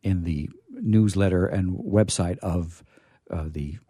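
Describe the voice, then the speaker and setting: A man's voice: deep voice, slow pace, mellow, breathy edge, dry recording, clean studio sound. A man hosting a radio show with chatty, rambling talk and a playfully theatrical manner.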